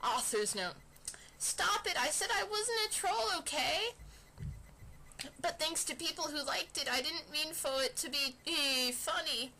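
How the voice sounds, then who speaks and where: High-voiced woman with lively, swooping delivery. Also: a little slow. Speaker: amateur reader of fan fiction. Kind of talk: mock dramatic reading. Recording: noisy computer fan behind voice. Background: computer fan noise.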